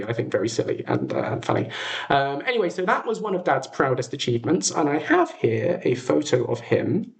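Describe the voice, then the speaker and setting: A British man speaks at a middling pitch in a chatty, informal livestream style. He tells stories conversationally, hesitating and breaking off to rephrase as he goes. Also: creaky. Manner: chatty, hesitant, self-interrupting. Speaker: livestream host. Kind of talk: casual livestream chat, storytelling.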